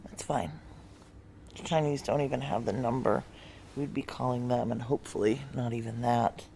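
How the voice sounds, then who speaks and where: A woman's voice: rumbling low, steady tone, normal speed, creaky, warm tone, slight background noise. An actress playing a tough, suspicious woman on the run in an audio drama, blunt, sarcastic and profane. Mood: tired, resigned. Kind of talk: naturalistic audio drama dialogue.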